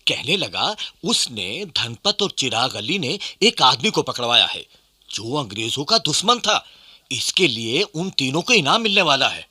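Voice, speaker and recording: An actor playing an aggrieved, loyal Indian sepoy in a radio play, speaking earnestly and emphatically. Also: male voice, medium pitch, big pitch swings, measured pace, sharp tone, breathy edge, no room sound, studio quality, full character voice. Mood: confiding, regretful.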